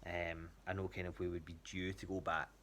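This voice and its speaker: Low-pitched Scottish man, casual update. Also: little pitch movement, brisk, a bit bright, a little hiss or hum. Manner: hesitant, rambling. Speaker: athlete giving a training check-in to a coach.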